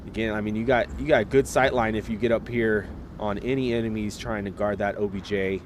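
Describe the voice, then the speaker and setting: A man casting a live esports match, relaxed and chatty, talking straight to viewers while getting over a slight cold. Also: lowish voice, brisk, a little hiss or hum. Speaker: esports caster.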